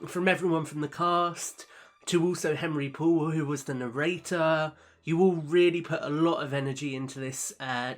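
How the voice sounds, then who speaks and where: A man's voice: on the high side, fairly bright tone. A man speaking as a show's host, giving an earnest, grateful message to listeners. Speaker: show creator and host. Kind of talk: sincere thank-you message to listeners.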